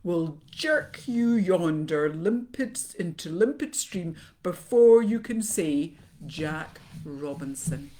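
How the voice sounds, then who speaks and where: A woman's voice: fairly low pitch, animated, takes it slowly, few pauses, dark tone. A woman voicing a pompous, bossy, wordy python in a storybook, speaking emphatically. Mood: urgent, pompous. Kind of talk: animated children's storybook character voice.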